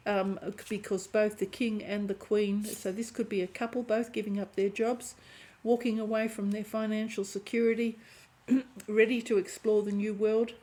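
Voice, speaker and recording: Hoarse woman giving a tarot reading. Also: medium pitch, level tone, normal speed, rough recording. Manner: warm, chatty, keeps clearing her throat. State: hoarse, losing her voice. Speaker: tarot reader. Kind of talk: intuitive tarot reading to camera.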